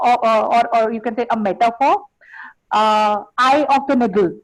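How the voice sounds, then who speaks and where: A woman's voice: fairly high pitch, a little fast, rough recording. A woman in an online workshop hesitantly sharing an experience, with many restarts, over a video call. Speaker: workshop participant.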